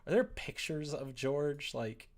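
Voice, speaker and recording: A man's voice: a little high, lively intonation, slow pace, slight background noise. A young man streaming, talking casually and playfully in a jokey way as he comments and reads aloud. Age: young adult. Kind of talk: casual livestream chat and reading aloud.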